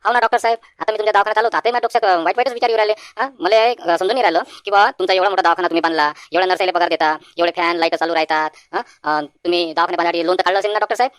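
A woman's voice: fairly low pitch, steady tone, very fast, few pauses, smooth, no echo, studio quality, natural voice. A woman voicing an anxious, naive village man in a comic cartoon, rambling with hesitant fillers in a rural Vidarbha Marathi accent. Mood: worried, rambling. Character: anxious rustic village man, naive worrier visiting a doctor.

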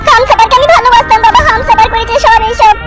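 A woman voicing a wronged young daughter in a comedy cartoon, with a shrill, melodramatic delivery over loud music. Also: steady tone, rapid-fire. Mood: hurt, bitter.

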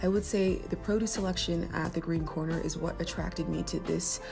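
Young woman vlogging on her couch, kinda low and gravelly, chatty and upbeat, music going underneath. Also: fairly even intonation, fairly quick, a little mellow. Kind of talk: casual vlog talk to camera and voice-over. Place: living room, seated on a sofa.

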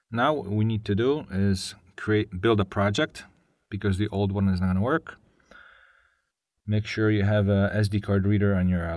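A man presenting a live software tutorial as a technical webinar presenter, hesitant, with short pauses mid-sentence. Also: on the low side, dry recording, studio quality. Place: voice-over on a screen-share webinar.

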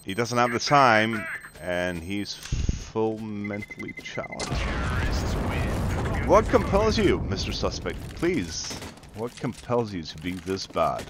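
Deep, slow gaming host. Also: male voice, big pitch swings, noisy background. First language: Swedish. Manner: mocking, taunting, casual swearing. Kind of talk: sarcastic gameplay commentary. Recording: game gunfire under voice.

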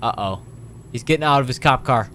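A young man doing casual, excitable roleplay commentary over a video game, animated and slangy with frequent exclamations. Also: medium pitch, brisk, a little hiss or hum. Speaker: gaming video host.

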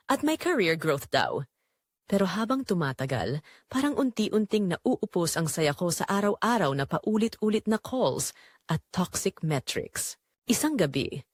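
A woman's voice: medium pitch, no echo, studio quality. A woman with a Filipino accent narrating a scripted first-person romance as a tender young call center agent. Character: tender young female call center agent telling her love story. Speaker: story narrator.

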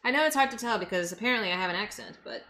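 A woman's voice: medium pitch, animated, rapid-fire, bright, forceful tone, poor audio. A young woman from Los Angeles chatting casually with her stream while cooking in a home kitchen, answering chat as she chops. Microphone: desk microphone on the counter.

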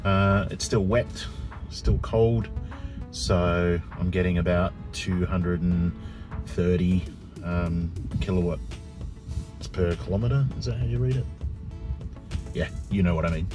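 A man's voice: sits low, steady tone, over music. A middle-aged man talking hesitantly and casually to camera for a road trip vlog, filmed at arm's length in a car or outdoors. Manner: hesitant, halting, thinks aloud. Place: parked car interior and outdoor roadside charging stops. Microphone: phone or camera at arm's length.

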